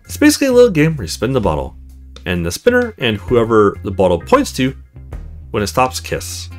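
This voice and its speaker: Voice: medium pitch, huge pitch swings, a little fast, faint music behind. A performer reading a visual novel as a brash young party girl, bossy and mocking. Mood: teasing, bossy. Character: brash, teasing young party-loving woman. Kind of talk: visual novel read aloud.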